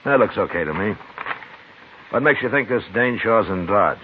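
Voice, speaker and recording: An actor playing a dry, laconic, world-weary American frontier marshal in old-time radio western style. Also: male voice, lowish voice, expressive, normal speed, close to an everyday voice. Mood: dry, skeptical. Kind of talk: 1950s radio western acting and narration. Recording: muffled, hissy old radio broadcast recording.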